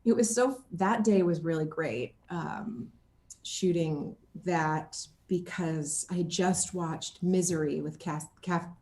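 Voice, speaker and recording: A woman giving a casual interview as an actor, talking in an animated, playful way. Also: fairly low pitch, slow, echoey room. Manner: animated, playful, acts out lines as she talks.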